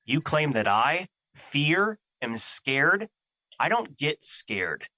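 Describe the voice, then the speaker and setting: A man's voice: on the high side, sing-song, takes it slowly, pushed and bright, dry recording, full character voice. A man playing Fear as a personified emotion in an audio drama, troubled, defensive and duty-bound, with earnest, formal phrasing.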